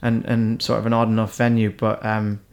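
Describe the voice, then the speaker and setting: A man's voice: on the low side, completely flat delivery, normal speed, vocal fry, muffled recording. Chatty Irish comedian man. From Ireland. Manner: chatty, rambling with restarts. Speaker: stand-up comedian. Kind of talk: casual chat.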